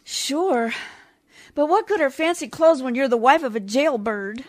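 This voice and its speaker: A woman plays a scheming wife from an old-time radio comedy. The voice is fairly high and dryly recorded. She teases coolly and jabs with sarcasm. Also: unhurried, no echo, studio quality. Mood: needling. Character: sly, scheming wife, coolly needling her husband. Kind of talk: 1940s radio comedy acting.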